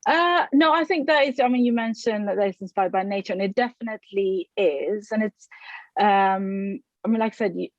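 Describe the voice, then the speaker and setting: A woman's voice: medium pitch, moderate pace, no room sound. Thoughtful Swedish-born woman, dry dull sound. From Sweden. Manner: thoughtful, hesitant, many fillers and restarts. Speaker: ceramic artist, interviewee. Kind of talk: conversational interview answers.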